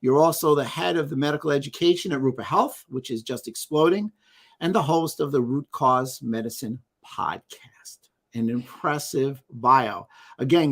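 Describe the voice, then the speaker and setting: A man's voice: a little high, vocal fry, fairly bright tone. A man hosting an interview podcast, upbeat, reading a guest's bio with small asides. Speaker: podcast host. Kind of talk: interview introduction and questions.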